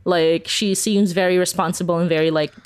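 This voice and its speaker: A woman's voice: fairly low pitch, fairly even intonation, fairly quick, clear, resonant tone. A woman being interviewed as a podcast creator, chatting informally in a rambling, giggly way with lots of filler "like". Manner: rambling, informal, heavy use of "like"; giggly, laughs often while talking. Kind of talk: casual, chatty interview talk.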